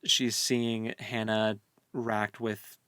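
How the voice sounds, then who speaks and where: A man co-hosting a casual book discussion, speaking in halting, informal phrases. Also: lowish voice, flat delivery, fairly bright tone, clean tone, no room sound. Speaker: podcast co-host.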